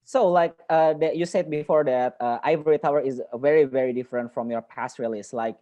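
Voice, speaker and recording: A woman interviewer whose first language is Indonesian, asking questions in a halting way with repeated words, over a video call. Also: extremely low pitch, warm tone, dull audio. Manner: halting, repeats words, non-native phrasing.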